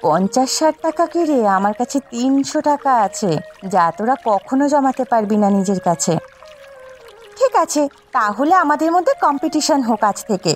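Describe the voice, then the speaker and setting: A woman's voice: sits high, expressive, moderate pace, faint music behind. A woman voicing a competitive, boastful young village housewife in a folk-tale cartoon, with a gossipy, emphatic delivery. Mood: boastful, taunting. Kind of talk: cartoon voice acting.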